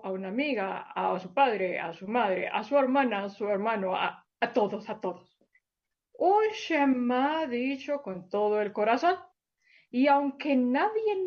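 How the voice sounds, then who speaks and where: A gentle plush lion puppet, acted by a woman, coaxes little children along in a sweet, affectionate singsong. The voice sits a bit high and moves at an unhurried, drawn-out pace. It comes through a dull, lo-fi recording. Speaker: children's storyteller. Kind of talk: children's puppet show storytelling.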